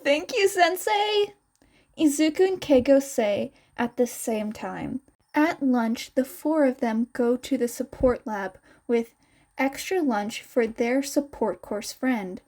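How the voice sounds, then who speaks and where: A woman's voice: on the high side, slow pace, warm tone. A woman reading scripted fan fiction as a narrator. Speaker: podfic narrator and reader.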